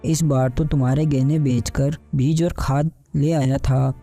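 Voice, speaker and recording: A man playing a muffled-dark, worried poor village farmer. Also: mid-range voice, fairly even intonation, measured pace, faint music behind. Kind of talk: Hindi animated bedtime story dialogue.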